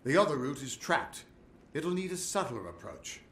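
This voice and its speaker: A man's voice: mid-range voice, very animated, moderate pace, a bit bright, echoey room. A man playing a middle-aged, boastful but loyal veteran adventurer in a fantasy game, with a theatrical, earnest delivery. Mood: grave, informative. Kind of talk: fantasy game dialogue acting.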